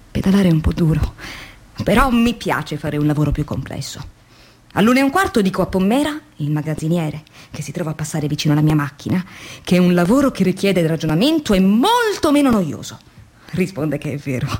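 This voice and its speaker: A woman's voice: lowish voice, a little mellow, slightly rough. An actress playing a weary, demoralized young woman factory worker in an introspective, theatrical radio drama monologue. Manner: introspective, theatrical, shifting between murmurs and emphatic phrases.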